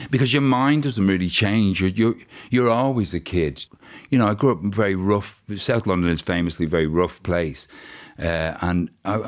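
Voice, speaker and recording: Deep-voiced Irish-born man in his fifties. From Ireland. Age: 50s. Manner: rambling, halting storytelling with many restarts. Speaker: musician guest. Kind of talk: casual interview chat.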